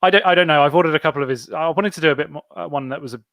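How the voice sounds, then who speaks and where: A man's voice: a little high, rapid-fire, a bit bright, no echo, studio quality. A man hosting a casual book club podcast, steering the talk and laughing often. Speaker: podcast host.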